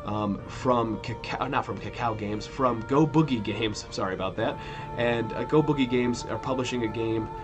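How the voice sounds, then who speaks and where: A man in his thirties hosting a weekly gaming news show in a relaxed, rambling way, correcting himself as he goes while still sniffly from a cold. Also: mid-range voice, moderate pace, music in the background. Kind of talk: casual news roundup.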